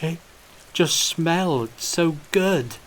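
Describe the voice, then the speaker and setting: A man's voice: fairly high pitch, drawn-out words, a little hiss or hum, voice pushed up. A man voicing a frightened woman thief in an audiobook, stammering and pleading.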